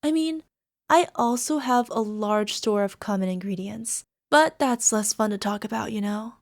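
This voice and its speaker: A woman's voice: medium pitch, moderate pace, no room sound, studio quality, natural voice. A woman playing a lovesick, possessive young alchemist in an ASMR girlfriend roleplay, speaking in a coy, coaxing, sweetly menacing way. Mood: pleased, chatty. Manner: coy, coaxing, giggly, tag questions. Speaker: voice actor.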